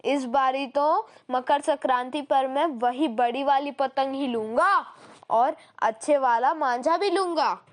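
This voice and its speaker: A woman voicing an eager, caring young boy in a moral story, with childlike, drawn-out pleading. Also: sits high. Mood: eager, excited. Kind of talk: Hindi moral story voice acting.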